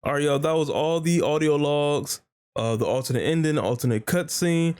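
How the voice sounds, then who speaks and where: A man's voice: a little high, normal speed, darkish tone, clear, resonant tone, faint music behind. A man hosting a reaction video, chatting casually and informally.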